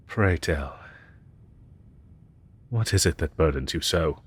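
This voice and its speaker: A man's voice: extremely low pitch, expressive, slightly rough, heavily acted. A man playing a haughty, ancient dragon professor in a roleplay audio, speaking in archaic, formal and condescending language. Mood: weary disdain. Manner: pompous, archaic, formal diction; disdainful and deliberate. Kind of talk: roleplay audio drama, scripted monologue.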